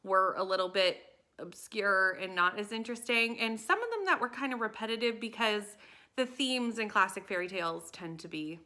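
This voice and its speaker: A woman's voice: medium pitch, moderate pace, bright, forceful tone. A woman talking casually and enthusiastically to camera as a book vlogger, with emphatic delivery.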